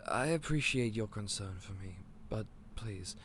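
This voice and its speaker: An actor playing a gentle, clumsy candy shop owner who stammers and speaks in a polite, old-fashioned way. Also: male voice, fairly low pitch, expressive, unhurried, a little hiss or hum. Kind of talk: audio roleplay acting.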